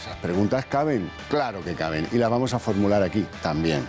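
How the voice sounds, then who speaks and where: A man with a lowish voice runs a televised political debate from the studio. He talks in an ironic, rhetorical way, piling up questions. Faint music sits behind him. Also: sing-song, fairly quick, vocal fry. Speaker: talk show host. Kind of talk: political talk show hosting.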